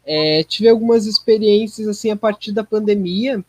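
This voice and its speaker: A man's voice: sits high, normal speed, dry recording. A man answering casually in an interview, thoughtful and a little hesitant. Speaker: podcast guest, theatre performer.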